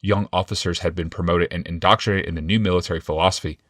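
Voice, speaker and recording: A man with a low-pitched voice narrates a fantasy audiobook. The sound is dry and free of room reflections. His delivery is scripted and dramatic, shaped as storytelling. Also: steady tone, fast, a bit bright, dry recording.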